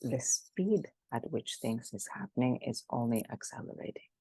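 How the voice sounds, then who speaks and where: A woman speaking as the expert guest in an interview, animated and emphatic, often restarting her sentences. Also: extremely low pitch, moderate pace, slightly rough, no room sound. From Greece. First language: Greek. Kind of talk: conversational interview.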